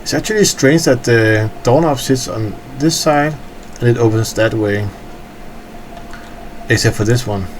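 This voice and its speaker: A man's voice: medium pitch, measured pace, slight background noise. A tired man from Denmark with a Danish accent, rambling with hesitant fillers as he tours his in-game hangar. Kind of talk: casual game walkthrough commentary.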